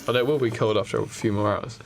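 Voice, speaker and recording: Gravelly man telling a story, noisy recording. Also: lowish voice, fairly even intonation, fast talker. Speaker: podcast co-host. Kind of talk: casual improvised storytelling.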